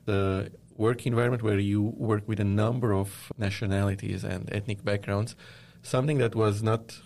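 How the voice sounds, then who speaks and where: A man from Lebanon with a Lebanese accent, giving measured, earnest explanations as an interview guest. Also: lowish voice, steady tone, vocal fry, darkish tone, a little hiss or hum. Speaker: interviewed media company founder.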